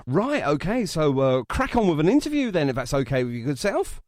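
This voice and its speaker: A man's voice: a little high, sing-song, fairly quick, darkish tone, no room sound, clean studio sound. A chatty, matey English man hosting a casual radio interview, speaking with some emphasis.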